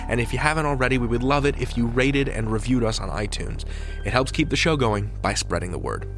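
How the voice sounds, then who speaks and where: A man's voice: medium pitch, a bit bright. Podcast host man reading credits over music. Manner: measured, announcer-like. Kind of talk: scripted outro and credits reading.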